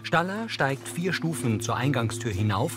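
A man's voice: mid-range voice, big pitch swings, moderate pace, music in the background. A man reading scripted audio description narration for a television drama.

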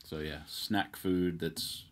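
Very deep-voiced man in casual conversation. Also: fairly even intonation, slow pace. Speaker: co-host. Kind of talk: casual chat. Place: indoor studio room full of guitars, seated at a table.

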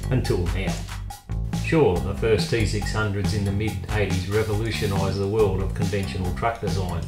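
Male narrator for scripted review voice-over; lowish register, roomy sound. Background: guitar music.

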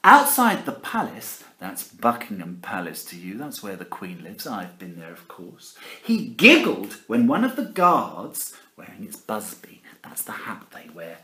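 A man's voice: on the low side, wildly swooping pitch, measured pace, few pauses, pushed and bright. A middle-aged man with an English accent reads a picture book theatrically, with exaggerated emphasis and chatty asides to children. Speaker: primary school teacher reading a storybook. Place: living room at home, indoors. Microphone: camera at about arm's length. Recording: echoey room.

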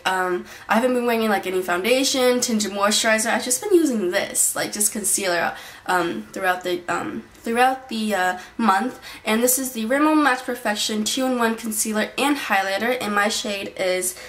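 A woman's voice: a little high, normal speed, fairly bright tone. A young woman talking casually in a beauty vlog, chatty and rambling, with lots of fillers and restarts, recorded on a camera mic in a small bedroom. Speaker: beauty vlogger. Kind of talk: casual monthly favorites vlog. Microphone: built-in camera mic at desk distance.

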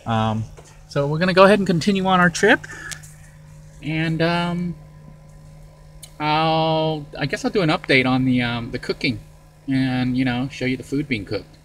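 A man filming a casual vlog from the cab of his van while he drives, talking in a relaxed, rambling way with many ums. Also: a little high, unhurried, pauses often, poor audio. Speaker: van-dwelling vlogger. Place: inside a moving van, cab area. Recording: faint road noise in a car cab. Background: vehicle road noise.

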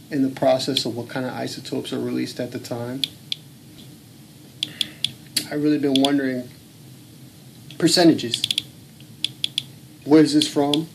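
A man's voice: fairly high pitch, vocal fry. Man, casual home vlogger, insistent and blunt, noisy phone audio. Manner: earnest, blunt, swears, speaks up insistently. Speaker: home video blogger. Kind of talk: casual filmed commentary. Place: home room.

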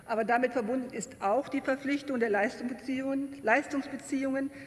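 A woman from the Rhineland giving a measured, argumentative speech at the lectern in a parliamentary debate. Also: sits high, steady tone. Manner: measured, argumentative, occasional self-deprecating asides. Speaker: member of a state parliament. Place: large plenary hall. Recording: echoing plenary hall, faint noise.

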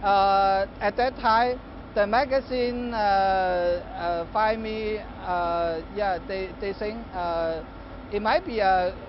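Halting middle-aged man. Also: sits high, steady tone. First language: Chinese. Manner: halting, many filler pauses. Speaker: interviewee, political candidate. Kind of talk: remote news interview answers. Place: outdoors on a city street. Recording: slightly dull street feed, faint traffic.

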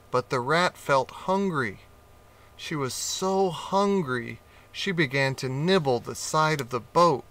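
A man reading a children's animal story aloud in a slow, gentle, expressive way. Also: on the high side. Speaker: audiobook reader.

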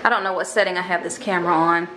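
A woman's voice: lowish voice, fairly even intonation, very fast. A woman in her thirties with an American accent, chatting casually and informally to the camera as a vlogger. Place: parked car and rooms at home. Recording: some background noise.

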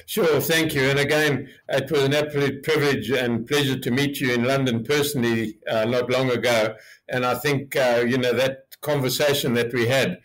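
A man's voice: medium pitch, normal speed, low-quality recording. Man in his late seventies, rambling storyteller. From Zimbabwe. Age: 70s. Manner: rambling, relaxed storyteller with many fillers. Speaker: hotel group chairman, guest. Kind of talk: interview storytelling.

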